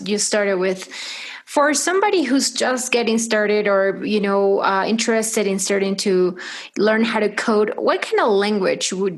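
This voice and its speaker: A woman from Peru whose native language is Spanish, hosting an interview in a chatty way, with lots of "like" and "you know". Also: mid-range voice. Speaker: podcast host. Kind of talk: casual interview chat.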